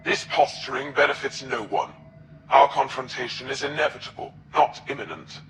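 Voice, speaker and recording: A man playing a cold, scheming alien military zealot in a video game, speaking formally and deliberately with menace. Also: mid-range voice, background noise, natural voice. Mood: cold resolve. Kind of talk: dramatic video game acting. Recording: echoing, with music behind.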